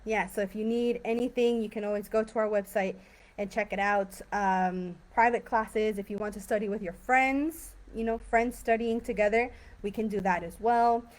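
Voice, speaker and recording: A woman's voice: mid-range voice, moderate pace. Chatty woman teacher on a live stream. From San Diego and Tijuana. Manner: chatty, relaxed, many fillers. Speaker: English teacher. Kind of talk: casual live-stream talk.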